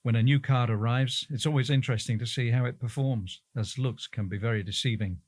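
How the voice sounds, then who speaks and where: A man's voice: mid-range voice, steady tone, fairly quick, darkish tone, dry recording, studio quality. A man reading out prepared interview answers.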